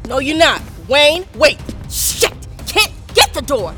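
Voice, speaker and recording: A woman's voice: high voice, sing-song, takes it slowly, sharp tone, faint music behind, heavily acted. An actress playing a bossy, callous woman on staff at a sinister facility in an audio drama, curt and commanding. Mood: urgent, angry.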